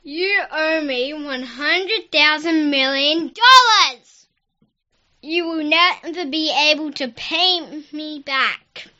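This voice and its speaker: A woman's voice: high voice, animated, slow pace, pushed and bright, muffled recording, heavily acted. A woman playing a stern king in a children's Bible story, with emphatic delivery. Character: stern king passing judgment on servant.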